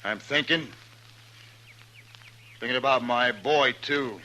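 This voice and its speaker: An actor playing a hard, suspicious rancher father bent on revenge, with an American accent and a gruff, terse, brooding delivery in 1950s radio western style. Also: mid-range voice, takes it slowly, pushed and bright. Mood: bitter, angry. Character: hard, suspicious, vengeful rancher father, middle-aged or older. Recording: noisy vintage radio broadcast.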